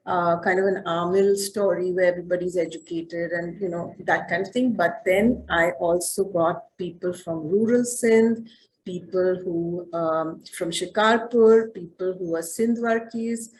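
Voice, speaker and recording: A woman's voice: medium pitch, slight background noise, poor audio. Chatty woman author with restarts. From southern India. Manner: conversational, many fillers and restarts. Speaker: author speaking to a book club. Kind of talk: casual talk.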